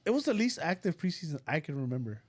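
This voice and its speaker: A man's voice: a little high, big pitch swings, fast. A man co-hosting a sports podcast, giving blunt opinions in casual chat and laughing a lot. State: tired after golf. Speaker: podcast co-host.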